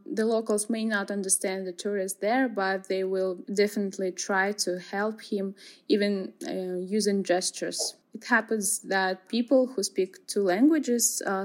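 Young woman, interviewee, calm and explanatory, mid-pitched. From Kazakhstan. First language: Kazakh. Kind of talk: answering interview questions.

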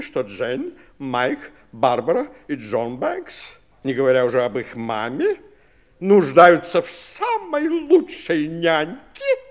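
A fretful middle-aged father in a vintage radio play. His voice is high for a man and extremely animated, leaping about as he grumbles with theatrical self-importance. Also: full character voice. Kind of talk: 1960s radio play acting.

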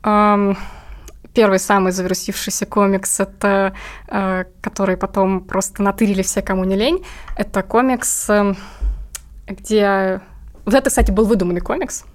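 A woman's voice: medium pitch, fairly even intonation, moderate pace. A woman being interviewed, telling stories casually in informal, slangy language. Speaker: interviewed comic artist and cat owner.

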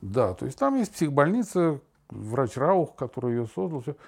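A man's voice: mid-range voice, very animated, moderate pace, vocal fry, darkish tone, slightly rough. An older man chatting casually as a podcast host, telling stories in a theatrical, digressive manner. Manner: theatrical, digressive, illustrates with sound imitations and examples. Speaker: podcast host, father talking with his daughter. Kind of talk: casual podcast conversation, storytelling.

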